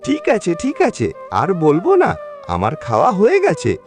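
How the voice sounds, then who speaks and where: A man's voice: high voice, huge pitch swings, moderate pace, a little mellow, faint music behind. A man playing a devoted, weary middle-aged woodcutter father in a cartoon, fussing and muttering to himself expressively. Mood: fond, hurried. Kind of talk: cartoon voice acting.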